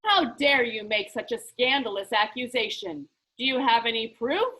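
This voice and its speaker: A woman's voice: on the high side, animated, moderate pace, pushed and bright, low-quality recording. A woman voicing a smug, falsely polite, scheming boy in a children's storybook. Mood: mock-innocent. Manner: smooth-talking, boastful, mock-innocent. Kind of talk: children's book read-aloud.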